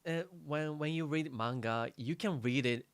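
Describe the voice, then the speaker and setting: A man, co-hosting a casual podcast in a halting way, often repeating words. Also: fairly high pitch, smooth, dry recording. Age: 30s. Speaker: podcast co-host.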